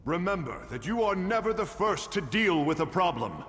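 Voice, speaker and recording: In long, ringing reverb, a solemn priest preaching in a video game. His male voice is notably high as he delivers his scripted sermon. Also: sing-song, moderate pace, a bit bright.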